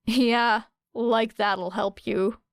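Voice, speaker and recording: A woman voicing a cool, wry teenage girl with a deadpan, matter-of-fact manner in an audiobook story. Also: on the high side, extremely slow, smooth, no echo, clean studio sound.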